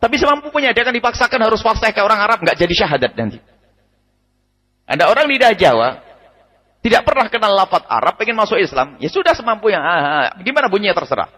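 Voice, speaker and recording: Man, preacher, husky voice, long hall reverb, radio broadcast. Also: high voice, quick pace. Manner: lively, emphatic, repeats key points, frequent chuckles. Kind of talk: religious study lecture. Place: study gathering hall.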